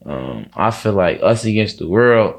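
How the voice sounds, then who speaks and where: A man's voice: on the low side, expressive, a little slow, creaky. A man with a Chicago accent talking casually as an interview guest, very slangy and often checking that the listener follows. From Chicago, United States. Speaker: interview guest, music artist. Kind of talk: casual conversation.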